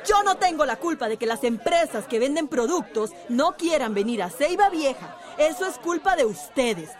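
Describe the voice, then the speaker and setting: Actress as a high-voiced, domineering small-town mayor. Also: female voice, big pitch swings, normal speed, a faint crowd. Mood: scornful. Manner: authoritative, indignant. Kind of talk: radio soap opera acting.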